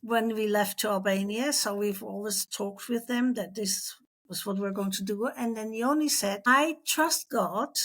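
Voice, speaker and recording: A woman's voice: medium pitch, unhurried, fairly bright tone. A middle-aged woman telling personal stories as an interview guest, acting out the dialogue as she goes. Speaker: interview guest, former missionary.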